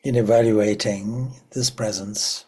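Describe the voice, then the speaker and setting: Slow, husky-voiced man guiding meditation. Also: fairly low pitch, fairly even intonation. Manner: slow, soft, prayerful delivery with whispered endings. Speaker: meditation teacher. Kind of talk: guided meditation.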